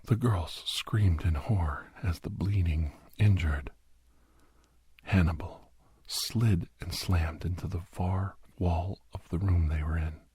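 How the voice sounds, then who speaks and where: A man playing a grim doctor recounting a bloody werewolf rescue in a hushed, breathy, halting ASMR storytelling style. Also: sits low, dry recording, heavily acted. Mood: grim, awed. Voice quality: breathy, husky near-whisper. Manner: hushed, halting, dramatic delivery. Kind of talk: whispered ASMR horror storytelling.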